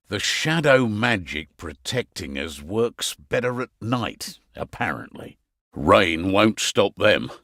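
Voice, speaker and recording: Fantasy game quartermaster: middle-aged Englishman, gruff, dryly humorous, hugely varied intonation, close dry studio sound. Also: on the low side, fairly bright tone, no echo, full character voice. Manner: wry, blunt, colloquial banter. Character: gruff, wry veteran innkeeper and mission quartermaster, male. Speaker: quest-giver in a fantasy game. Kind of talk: fantasy video game character barks.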